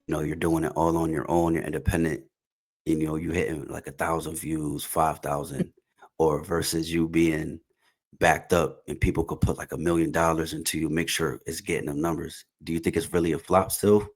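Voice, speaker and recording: A man in his thirties hosting a podcast, asking questions in a relaxed, informal way. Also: rumbling low, normal speed. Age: 30s. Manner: relaxed, informal speech, some "um"s. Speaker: podcast host. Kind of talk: casual interview chat.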